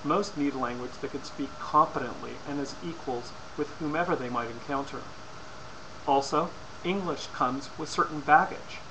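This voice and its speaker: A man with a slightly higher-than-average voice reads out a persuasive, scripted lecture. His delivery is formal and deliberate, with the odd stumble over a word. The recording has clearly audible noise behind him. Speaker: presenter. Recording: clearly noisy amateur recording.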